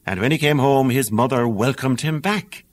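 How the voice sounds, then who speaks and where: A man with an Irish accent narrating a fairy tale audiobook in a lilting, animated storytelling manner. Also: mid-range voice, moderate pace.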